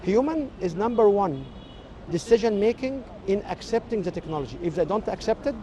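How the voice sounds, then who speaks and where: A man with an Egyptian accent and native Arabic, giving measured, explanatory interview answers as a technology expert. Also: high-pitched, animated, warm tone, highs cut off, a little hiss or hum.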